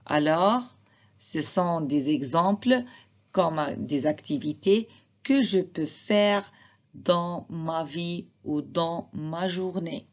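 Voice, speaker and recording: Woman teacher, slow and patient classroom delivery, lowish voice. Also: dull audio. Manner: patient, addresses students directly, repeats examples.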